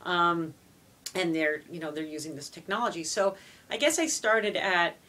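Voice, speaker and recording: Native french speaker woman, teacher, kinda low voice, earnest, lots of "you know". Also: normal speed, a bit bright. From Canada (Montreal). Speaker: foreign language teacher. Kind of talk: interview answers.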